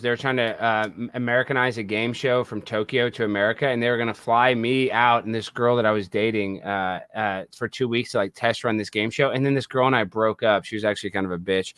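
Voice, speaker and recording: A man chatting casually as a podcast guest, telling stories in a slangy, excitable, rambling way. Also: mid-range voice, quick pace, hardly any pauses, clear, resonant tone, no echo. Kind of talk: casual chat.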